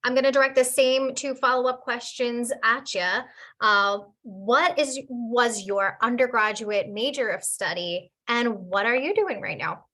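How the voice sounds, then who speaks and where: A woman's voice: a little high, measured pace, bright, forceful tone. A woman moderating a university webinar, introducing the students and asking them questions in a cheerful, upbeat way over a video call.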